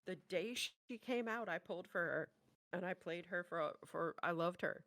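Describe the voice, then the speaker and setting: A woman's voice: lowish voice, moderate pace, fairly bright tone. A woman co-hosting a reaction show, chatting casually in a playful, exclamatory way.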